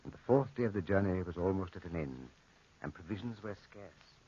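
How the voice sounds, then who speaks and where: Solemn storyteller, very low husky voice, portentous 1940s radio narration, muffled old recording. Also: male voice, lively intonation. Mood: solemn, suspenseful. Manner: dramatic, measured, portentous. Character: solemn male storyteller of a dark adventure tale.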